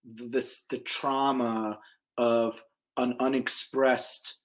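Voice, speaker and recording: A man's voice: flat delivery, drawn-out words. A man from Egypt, a guest on a podcast, talking bluntly and emphatically in a casual conversation. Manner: emphatic, blunt, swears, big pitch jumps on key points. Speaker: podcast guest, small business owner.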